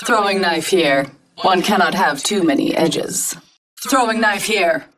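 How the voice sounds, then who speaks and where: A woman's voice: fairly low pitch, measured pace, fairly bright tone, distant mic, low-quality recording. A woman voicing a poised, cultured and coolly confident fighter in a video game. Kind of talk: video game character callouts.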